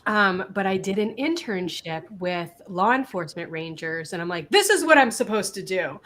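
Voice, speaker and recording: A woman's voice: mid-range voice, lively intonation, normal speed, fairly bright tone. A woman, slightly scratchy from a cold, chatting as an interview guest with laughs and restarts. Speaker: guest, business coach. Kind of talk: casual live-stream interview.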